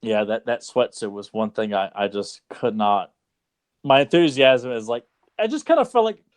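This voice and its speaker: A man's voice: mid-range voice, expressive, normal speed. A man co-hosting a podcast in casual chat, laid-back and teasing, with plenty of filler words. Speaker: podcast co-host.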